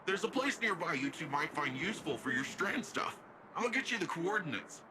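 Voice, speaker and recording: Over clearly noisy game ambience comes a brash young sci-fi hero. His voice sits fairly high and swings lively up and down. He is playful, slangy and chatty, always ready to joke. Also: normal speed. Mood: easygoing. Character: brash, upbeat, joking young futuristic guardian hero. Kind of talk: video game voice acting.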